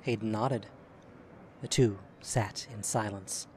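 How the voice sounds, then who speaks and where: A man's voice: lowish voice, fairly even intonation, slow pace, a little mellow, slight background noise. A man narrating a scripted audio drama as a storyteller.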